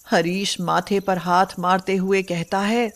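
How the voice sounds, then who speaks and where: A woman delivers scripted storybook narration. She speaks at a slow, measured pace in a medium-pitched voice, laying out the tale line by line. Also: fairly even intonation.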